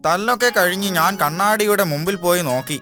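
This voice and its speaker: A woman's voice: medium pitch, a little fast, close to an everyday voice. Woman doing a cheeky young animal, music behind. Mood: boastful, carefree. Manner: teasing, bossy, carefree. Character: cheeky, boastful young mischief-making animal friend with a tail. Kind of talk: children's cartoon voice acting.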